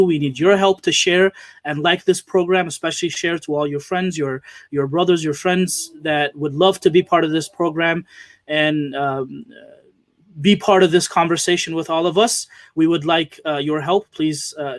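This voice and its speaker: Guy hosting a talk show, slightly high voice, dry close sound, earnest, lots of uhs. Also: steady tone, moderate pace, no echo. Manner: earnest, frequent uh fillers. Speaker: host. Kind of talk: hosted interview.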